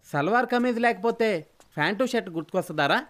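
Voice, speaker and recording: A man's voice: high-pitched, expressive, fast, fairly bright tone. An actor playing a young male friend who nags and teases, in film comedy dialogue. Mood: nagging.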